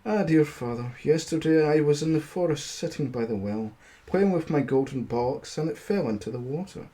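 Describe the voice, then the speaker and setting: A man's voice: fairly high pitch, animated, normal speed, dark tone, natural voice. A man voicing a spoiled young storybook princess, disdainful and fretful, in petulant archaic phrases.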